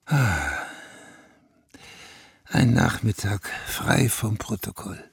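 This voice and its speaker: An actor playing a weary, regal prince-elector in a German radio drama, musing in a stately way with a husky, gravelly voice. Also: male voice, lowish voice, extremely slow, a little hiss or hum, heavily acted. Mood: wistful, weary. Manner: stately, musing, speaks in royal plural.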